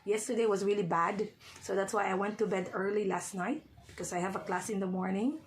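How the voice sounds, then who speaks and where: A woman tutor with a hoarse voice, talking casually to her viewers and often checking in with "okay?". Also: mid-range voice, fairly even intonation, a little fast, slight background noise. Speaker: reading tutor. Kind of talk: casual vlog talk to viewers.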